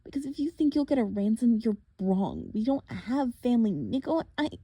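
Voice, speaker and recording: A woman's voice: a little high, brisk, dark tone, slightly rough, no room sound, voice pushed up. A woman doing a defiant teenage girl. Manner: tense, demanding, sarcastic. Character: defiant teenage girl, sharp-tongued and protective.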